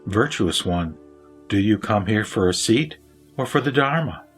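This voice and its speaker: Man voicing a wise lay sage, low voice, solemn earnest teaching, soft music behind. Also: lively intonation, measured pace, slightly rough. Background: faint music.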